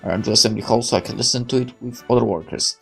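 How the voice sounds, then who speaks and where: A man's voice: medium pitch, racing, creaky, fairly bright tone. A man reading a visual novel aloud, stumbling now and then and dropping in muttered asides. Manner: stumbles over read lines, mutters asides. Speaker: gaming video maker. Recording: music under the voice. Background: game music.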